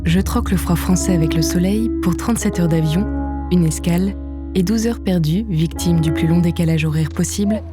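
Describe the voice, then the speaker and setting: Female documentary narrator, lowish voice, steady scripted read, music bed behind. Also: brisk.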